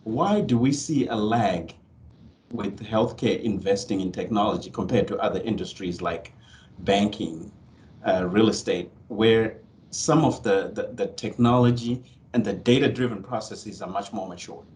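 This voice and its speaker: Low-voiced moderator from Zimbabwe. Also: male voice, a little mellow. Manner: measured, polite, directing the questions. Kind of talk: moderating a virtual panel.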